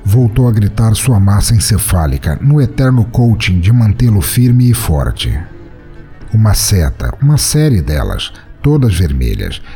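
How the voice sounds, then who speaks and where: Man, Brazilian accent, fiction narration, lively varied intonation, ironic, soft music behind. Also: fairly low pitch, breathy edge. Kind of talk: dramatic scripted fiction narration.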